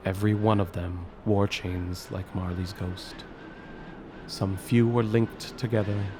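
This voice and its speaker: A man giving solemn, deliberate dramatic narration of a story. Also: lowish voice, noisy background.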